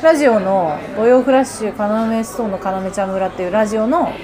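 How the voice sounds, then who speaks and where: Fast-talking, animated woman in a busy eatery. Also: mid-range voice. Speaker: podcast host. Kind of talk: casual chat. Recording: lively crowd chatter behind. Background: restaurant crowd chatter.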